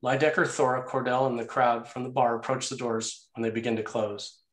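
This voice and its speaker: A man's voice: medium pitch, little pitch movement, brisk, fairly bright tone. A man narrating action and scene headings from a screenplay in a scripted read. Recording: roomy, echoey sound.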